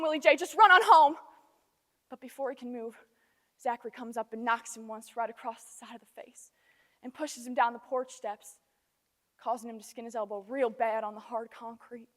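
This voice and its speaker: Troubled, hesitant Southern wife, played by a teenage female, high-pitched, stage storytelling swelling with emotion, big echoey hall. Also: lightly acted. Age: teens. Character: distraught, hesitant Southern wife recounting a violent confrontation. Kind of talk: dramatic stage monologue. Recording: echoey hall with long reverb.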